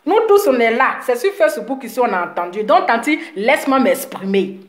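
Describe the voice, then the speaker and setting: Woman, high voice, scolding live rant, repetitive, slight cold, echoey room. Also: sing-song, a little fast. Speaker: social media commentator. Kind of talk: live video rant to followers.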